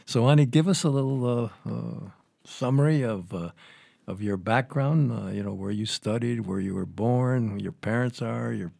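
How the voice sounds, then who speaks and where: A man's voice: mid-range voice, normal speed, gravelly, darkish tone, no echo. A man hosting an interview show, rambling and stammering through repeated words. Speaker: show host.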